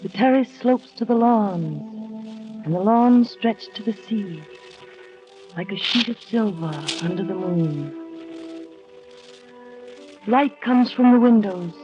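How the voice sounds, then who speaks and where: An actress in a 1940s radio drama playing a shy nineteen-year-old companion, soft-spoken and wistful, narrating her memories. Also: mid-range voice, slow, pauses often, natural voice. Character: shy young woman of nineteen, paid companion. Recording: dull old radio recording with music.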